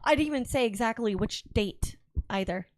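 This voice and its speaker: A woman's voice: on the high side, expressive, normal speed, slight background noise. A woman chatting casually as a panelist on a show. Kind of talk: casual chat.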